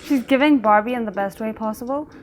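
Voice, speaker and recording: Chatty woman reacting out loud. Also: fairly high pitch. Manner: chatty, animated, quick to react aloud. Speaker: reaction video host. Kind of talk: casual commentary while watching a series.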